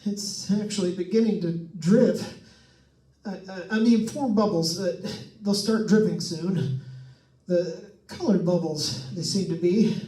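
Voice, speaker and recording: Man playing jittery haunted-house radio host, slow stammering delivery, forced cheer, old-time radio suspense, roomy echo, far from mic. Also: high-pitched, warm tone. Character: nervous, chatty male radio host alone in haunted house. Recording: echoey room, distant microphone.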